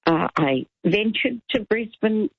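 A woman's voice: medium pitch, expressive, slow, creaky, husky, dry recording, muffled recording. A woman in her seventies calling in to a talk radio show, chatty and rambling, getting indignant at times. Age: 70s. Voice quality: loud voice. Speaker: caller. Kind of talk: talkback radio call.

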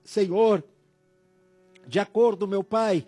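A man's voice: high-pitched, slow pace, slightly rough, no room sound. A man leading a fervent, impassioned prayer with rhythmic repetition, sometimes half-singing his phrases. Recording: soft music underneath. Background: soft music.

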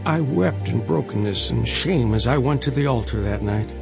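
A devout older father tells his life story as radio drama, with music underneath. His gravelly voice swings expressively as he reminisces with earnest feeling. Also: mid-range voice, animated, moderate pace. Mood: anguished, moved. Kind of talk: dramatized first-person narration.

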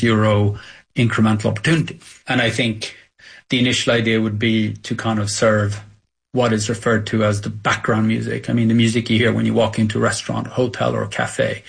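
A man's voice: mid-range voice, creaky, slightly rough. A middle-aged man whose first language is Swedish, a company founder answering radio interview questions candidly and searching for words at times.

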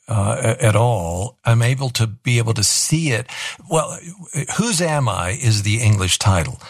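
A man's voice: on the low side, no echo, studio quality. A man hosting a faith interview, conversational and prone to short preaching asides. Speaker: interview host.

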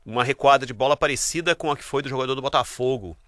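A man's voice: mid-range voice, fast, a bit bright, dry recording, studio quality. A man with a Brazilian accent hosting a casual sports chat on the radio. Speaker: radio sports show host.

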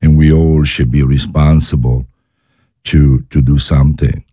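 This is a man with an Italian accent and an extremely deep, dark voice. He speaks English as a second language, earnest and halting, with many fillers and restarts as he searches for words. The studio sound is dry and close. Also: fairly even intonation, dry recording. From Italy, born in Naples, raised in Milan. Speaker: guest, chef and restaurateur. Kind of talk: studio interview.

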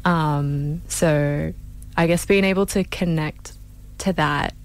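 A woman hosting a community radio show, speaking in a measured, earnest way with a few fillers. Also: low voice, fairly even intonation. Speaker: radio host.

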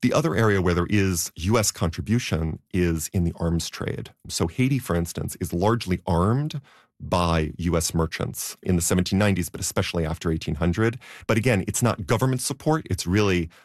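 A man being interviewed as a historian, explaining at a measured pace. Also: low-pitched, dry recording, clean studio sound. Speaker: historian guest. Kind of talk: radio interview answers.

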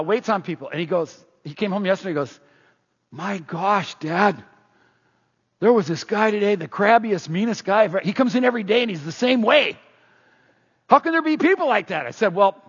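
A middle-aged man preaches with a high, husky voice, talking casually with the congregation and tossing them questions. His voice rings out in a long hall reverb, and the recording sounds dull and muffled. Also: a bit bright. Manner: conversational, asks the congregation questions. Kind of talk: sermon.

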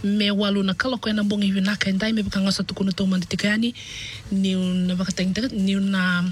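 Lady doing a radio interview, normal pitch, bit hesitant and informal. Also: flat delivery, very fast, a little hiss or hum.

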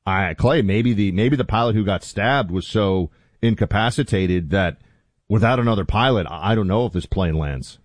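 A man's voice: moderate pace, no echo. Heated male talk radio host. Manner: heated, indignant, voice climbing high when outraged.

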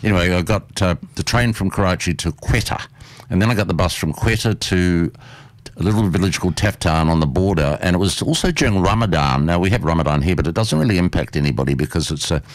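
Deep-voiced New man hosting. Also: fast talker, dry recording. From New Zealand. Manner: rambling, chatty, full of asides. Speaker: host. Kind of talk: casual chat.

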